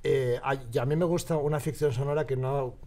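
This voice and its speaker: Man, Spain Spanish accent, medium pitch, emphatic, opinionated, casual studio interview. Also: steady tone, a little fast, a little mellow. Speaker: radio drama creator, interviewee. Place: radio drama studio.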